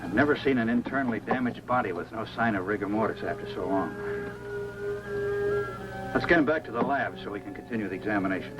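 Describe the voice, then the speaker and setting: A man's voice: fairly low pitch, expressive, fast talker, close to an everyday voice, low-quality recording. A man acting a grave, authoritative doctor with a clinical, emphatic manner in a 1950s science fiction film. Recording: old film soundtrack with faint music.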